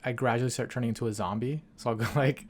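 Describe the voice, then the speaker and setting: A man from Ecuador hosting a podcast, talking in a relaxed, rambling way full of fillers. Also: medium pitch, quick pace, slight background noise. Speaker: podcast host. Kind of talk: casual podcast interview.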